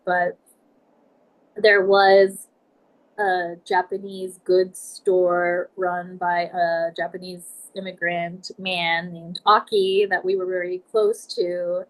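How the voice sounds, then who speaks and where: A woman's voice: lowish voice, low-quality recording. Reflective woman in her forties. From United States, Nebraska. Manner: reflective, measured storytelling. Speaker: podcast guest. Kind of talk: casual interview talk.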